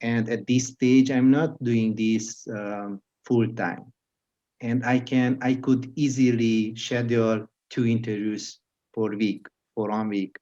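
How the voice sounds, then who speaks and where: A man from Hungary with a Hungarian accent, hosting a podcast interview in casual chat and speaking in halting phrases with frequent restarts. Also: medium pitch, steady tone. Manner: halting, restarts words, laughs softly. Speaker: podcast host. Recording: dull lo-fi audio.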